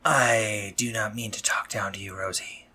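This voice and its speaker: A man playing an anxious, awkwardly tender spider-monster medic in a scripted romantic audio roleplay, speaking formally and haltingly with a breathy, slightly husky voice. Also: fairly low pitch, steady tone, bright, forceful tone, heavily acted. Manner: formal, stammering, halting when flustered.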